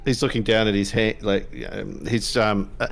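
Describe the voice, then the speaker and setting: A man narrating a tabletop game as game master, halting and searching for words. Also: fairly low pitch, level tone.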